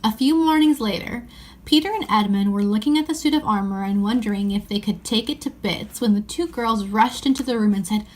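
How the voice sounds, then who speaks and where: A woman's voice: mid-range voice, brisk, low-quality recording. A woman narrating a children's story as an audiobook reading.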